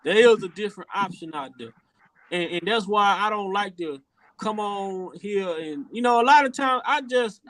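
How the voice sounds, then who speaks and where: A man with a strikingly high voice hosts a casual opinion show. His tone is bright and forceful, and he talks earnestly, circling back on his words as he builds each point. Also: expressive, moderate pace, no room sound. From rural Louisiana, USA. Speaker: podcast host.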